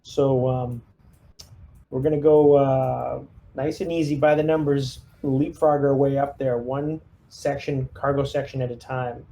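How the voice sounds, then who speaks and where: Man planning moves, soft mellow voice. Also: medium pitch, level tone, measured pace, vocal fry, a little hiss or hum. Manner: collaborative, thinks aloud, hesitant phrasing. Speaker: player in a tabletop roleplaying game, leading the squad. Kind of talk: casual in-character tactical planning.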